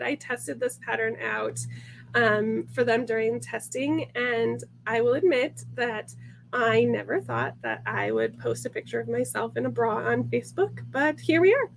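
A woman, stuffy and gravelly from a sinus infection, chatting upbeat through a sewing tutorial in her home sewing room. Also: on the high side, expressive, a little slow, slight background noise. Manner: chatty, upbeat, many ums and little laughs. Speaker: sewing instructor hosting a sew-along. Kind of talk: casual tutorial to camera.

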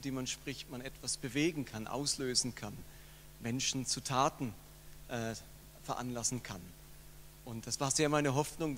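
A man's voice: mid-range voice, a bit bright. A middle-aged man, a pastor, speaking earnestly and personally into a handheld mic on a hall stage. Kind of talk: acceptance speech. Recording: hall reverb with faint hum.

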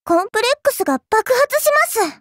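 A woman's voice: very high voice, very animated, fast, fairly bright tone, no room sound, clean studio sound, full character voice. A woman voicing a childlike, fairy-like game girl, cutesy and polite, with a sweetly teasing, faintly condescending manner. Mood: flustered. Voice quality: cutesy, girlish. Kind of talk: Japanese mobile game character voice lines.

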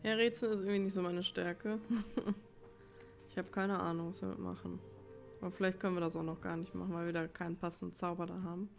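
A woman's voice: lowish voice, level tone, fast talker, gravelly. A woman giving casual gameplay commentary, musing to herself in an informal way. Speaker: let's player. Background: game music.

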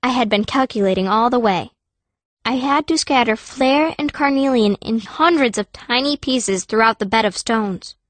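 Woman as high-voiced, cunning girl mage narrating. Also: moderate pace, dry recording, natural voice. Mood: tense storytelling. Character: fierce, cunning young girl mage telling her story. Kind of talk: first-person audiobook narration.

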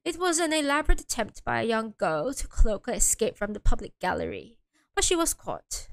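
A woman voicing a cold, disdainful aristocratic male prosecutor in a game, speaking low and measured. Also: big pitch swings.

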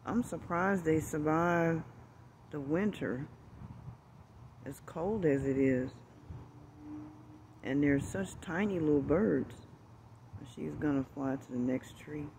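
A man filming in a backyard, talking slowly and gently, often dropping to a hushed voice. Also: on the high side, vocal fry, slight background noise, poor audio. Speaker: birdwatching vlogger. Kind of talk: casual outdoor narration while filming. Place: outdoors in a backyard among bushes. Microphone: handheld phone camera.

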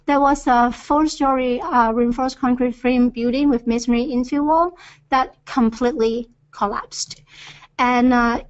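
A woman presenting engineering field observations on a webinar, with frequent hesitant fillers. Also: a little high. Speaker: earthquake reconnaissance presenter. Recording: dull lo-fi webinar audio.

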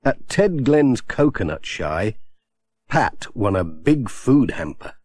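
A man narrating a children's storybook in a gentle, expressive way on a close studio mic. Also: lowish voice, takes it slowly, breathy edge, no room sound. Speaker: audiobook narrator.

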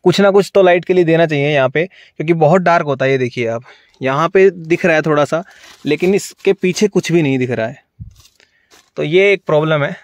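A man's voice: a little high, fairly quick, no echo. A man in his thirties from India, talking casually into a phone held at arm's length inside a car at night, reviewing its features. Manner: chatty, repetitive, explains while showing. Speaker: car vlogger.

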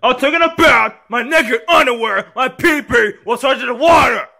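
A man voicing a rude, whiny young boy in a homemade puppet-style story, yelling in high bursts. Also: wildly swooping pitch, moderate pace, full character voice. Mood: whiny, upset. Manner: whiny, defiant, yelling outbursts.

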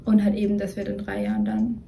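A woman's voice: medium pitch, little pitch movement, fast talker, gravelly, dark tone, faint music behind. A young woman talking casually as a co-host, rambling and slipping English words into her speech. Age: young adult. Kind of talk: casual chat. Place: dim bedroom, indoors.

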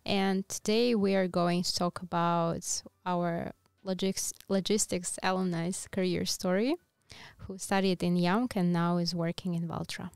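A woman's voice: lowish voice, steady tone, measured pace, creaky, darkish tone, clear, resonant tone, no echo. A woman in her twenties hosting a podcast and interviewing a guest, speaking close into a studio mic. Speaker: podcast host. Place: small curtained podcast studio. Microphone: close studio mic on boom arm.

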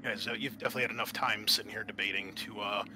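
A man acting as game master, explaining and narrating a tabletop game in a casual, halting way. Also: lowish voice, steady tone, background noise.

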